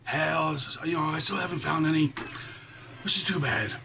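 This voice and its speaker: A man's voice: a little high, normal speed, gravelly. A man voicing a salty pirate who reads a children's storybook, chatting to his mateys with pirate talk and chuckles. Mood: jolly, easygoing. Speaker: storybook reader. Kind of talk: children's read-aloud with chatty asides. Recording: noisy, with background ambience.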